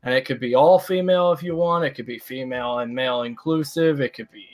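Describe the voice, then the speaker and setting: A man's voice: medium pitch, fast. Blunt, ranting young man on a stream. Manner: blunt, ranting, swears freely. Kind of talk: casual opinionated stream chat.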